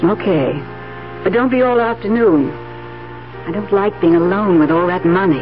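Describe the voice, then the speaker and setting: A woman plays an anxious wife who nags. The style is 1970s radio drama, on a dull old recording with loud music. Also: mid-range voice, moderate pace, lightly acted. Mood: nervous. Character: anxious, nagging wife of a schemer.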